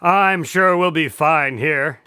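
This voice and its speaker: An actor playing a polite, long-suffering doctor in a radio drama, often incredulous and hesitant. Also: male voice, a little high, slow, sharp tone, clear, resonant tone, no echo, studio quality. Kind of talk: scripted radio drama acting.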